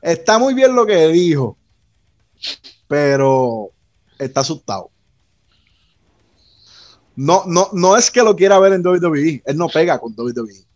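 A man's voice: on the high side, sing-song, measured pace, long pauses, a little mellow, dry recording, lo-fi sound. A man, co-hosting a casual wrestling chat and bluntly repeating his point. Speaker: wrestling podcast co-host.

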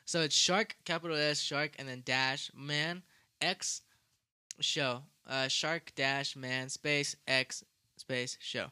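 A man's voice: mid-range voice, steady tone, takes it slowly, sharp tone, smooth. A young man co-hosting a podcast, joking and teasing in casual slangy chat. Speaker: podcast co-host.

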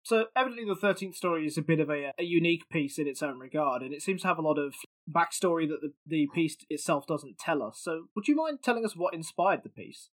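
A man's voice: on the high side, expressive, fast, few pauses, a bit bright. A man hosting a podcast and asking interview questions in a conversational way.

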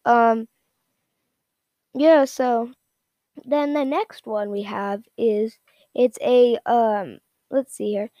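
A woman giving a casual, unscripted product rundown in a halting, repetitive, informal way. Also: fairly high pitch, fairly even intonation, dark tone, dry recording, lo-fi sound. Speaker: product reviewer.

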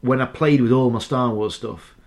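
A man's voice: medium pitch, normal speed. A man in casual podcast chat, talking freely and swearing now and then. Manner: chatty, swears freely, acts out quotes. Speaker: podcast co-host. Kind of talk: casual chat recapping a TV episode.

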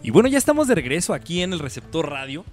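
A man's voice: fairly high pitch, very animated, quick pace, over loud music. A man with a Mexican accent hosting a casual radio interview, informal and repeating phrases for emphasis. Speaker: radio show host.

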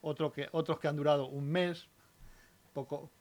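A man's voice: a little high, fairly even intonation, normal speed, a little mellow, a little hiss or hum. A man from La Guardia in Spain with a Castilian accent, chatting casually as a radio guest and often repeating phrases as he goes. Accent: Castilian Spanish. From La Guardia, Toledo, Spain. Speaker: interview guest, municipal archivist. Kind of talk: casual radio conversation about local history.

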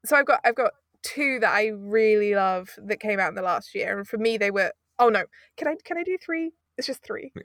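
A woman's voice: mid-range voice, lively intonation, a little fast, a bit bright, dry recording, clean studio sound. A woman speaking as an interview guest, effusive and emphatic, often wandering off into asides as she talks. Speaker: guest, audio drama producer. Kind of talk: enthusiastic interview conversation.

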